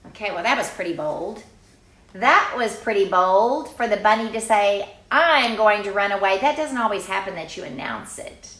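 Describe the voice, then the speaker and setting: An older woman reading a picture book aloud to children in an informal way, with chatty, exclaiming asides and drawn-out emphasis. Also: mid-range voice, animated, a bit bright, roomy sound, low-quality recording.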